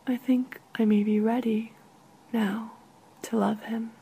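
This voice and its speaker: Woman with a husky, creaky voice. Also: a little high, little pitch movement, slow pace, mellow. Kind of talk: literary short story narration.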